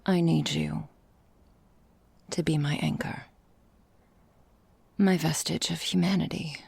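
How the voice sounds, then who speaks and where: A woman playing an ancient, possessive vampire mistress in an ASMR roleplay, speaking in a breathy, husky half-whisper with deliberate, formal phrasing. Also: sits low, steady tone, darkish tone, dry recording, exaggerated voice. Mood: tormented, intense. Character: female vampire mistress, ancient, possessive, seductively menacing, melancholy. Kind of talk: ASMR roleplay, intimate dramatic monologue.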